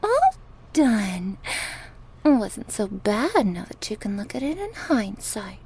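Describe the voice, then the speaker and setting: A woman playing a sadistic, gleefully cruel goddess in an ASMR horror roleplay, taunting theatrically and slipping into breathy whispers. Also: mid-range voice, sing-song, slow, darkish tone, heavily acted. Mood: condescending.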